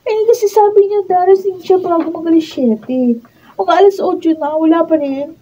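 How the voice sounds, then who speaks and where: A woman's voice: very high voice, normal speed, mellow. A woman acting a bratty, childish young girlfriend in a Filipino radio drama, with a whiny, nagging manner and a Filipino accent. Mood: irritated. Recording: dull lo-fi room recording with clear noise.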